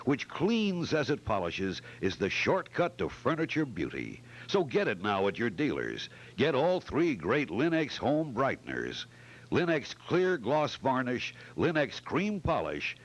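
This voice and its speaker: A man playing an eager, polished wartime radio announcer delivering sponsor messages in 1940s style. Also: mid-range voice.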